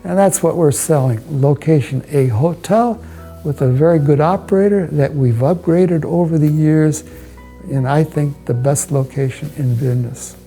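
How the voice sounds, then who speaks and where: Mellow, creaky-voiced American man. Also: on the high side. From United States. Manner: measured, halting delivery in short phrases. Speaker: hotel owner and investor. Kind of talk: promotional interview, personal recollection. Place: indoors, hotel suite.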